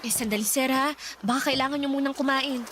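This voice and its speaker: An actress playing an eager, caring eighteen-year-old housemaid in a Tagalog radio drama, polite, hesitant and fussing. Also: female voice, high voice, fairly even intonation, quick pace, a bit bright, a little hiss or hum. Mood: worried.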